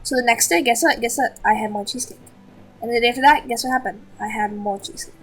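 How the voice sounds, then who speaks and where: High-voiced woman, slightly husky storyteller. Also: fast talker. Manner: excitable, repetitive storytelling with acted-out quotes. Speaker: host of a story-time show. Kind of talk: casual storytelling chat.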